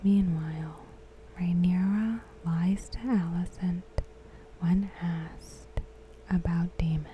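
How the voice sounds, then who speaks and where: A woman narrates in a soft, breathy ASMR whisper, taking her time over every phrase. Her tone is very dark and mellow, with a deliberate, hushed delivery. A faint background hiss sits behind the voice. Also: lowish voice, steady tone, rough recording. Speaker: ASMR host.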